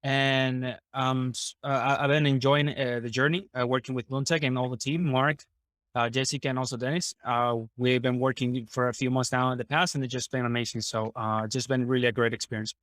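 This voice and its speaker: A man's voice: medium pitch, level tone, a little fast, fairly bright tone, dry recording. A man with a mid-range voice. He talks hesitantly, with many fillers. The sound is dry. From Venezuela. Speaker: blockchain developer.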